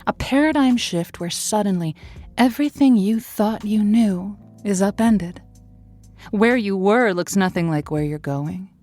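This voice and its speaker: Actress as female radio call-in host, wry, intimate, deliberate, mid-pitched, audio drama. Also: expressive, darkish tone, soft background music, natural voice. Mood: intimate, reflective. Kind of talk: scripted radio drama, intimate monologue and chat.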